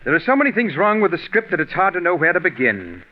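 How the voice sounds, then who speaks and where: Pompous curt radio boss, highish voice, dull old 40s broadcast sound. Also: male voice, big pitch swings, fast talker. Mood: dismissive. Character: imperious, fault-finding male radio show boss. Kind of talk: 1940s radio drama acting. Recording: dull 1940s radio recording.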